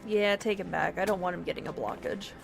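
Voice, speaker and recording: A woman's voice: fairly low pitch, fast talker, creaky. A woman chatting casually while playing a game on a livestream. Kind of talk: casual gaming livestream chat. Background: game music.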